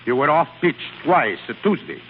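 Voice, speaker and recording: Exacting singing master, theatrical, critical, animated, 1930s radio drama, reverberant tinny recording. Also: male voice, a little high, moderate pace, slight background noise. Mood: scornful, exacting. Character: exacting, sardonic male European singing teacher.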